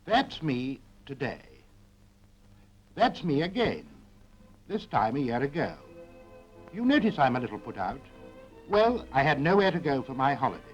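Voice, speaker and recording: Actor as lively chatty 1940s ordinary husband. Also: male voice, mid-range voice, moderate pace, long pauses, lo-fi sound, lightly acted. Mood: chatty, content. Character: chatty ordinary husband recounting his farm holiday. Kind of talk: 1940s public information film monologue. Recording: 1940s film soundtrack with music.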